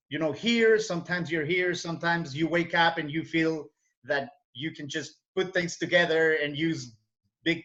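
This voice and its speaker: A man's voice: on the high side, fairly bright tone. A middle-aged man from Ecuador with a Spanish accent, a native Spanish speaker, answering interview questions in a reflective, explanatory way. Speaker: interview guest, English teacher. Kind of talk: casual interview. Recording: dull, lo-fi call audio.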